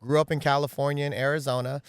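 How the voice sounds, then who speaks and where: A man's voice: medium pitch, flat delivery, fast, clean tone, no room sound, clean studio sound. A man in his thirties from the US West Coast, a guest in an interview, talking earnestly and at length, often saying "right?" and "um". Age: 30s. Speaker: guest, real estate agent. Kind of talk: casual interview talk. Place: outdoors in a backyard.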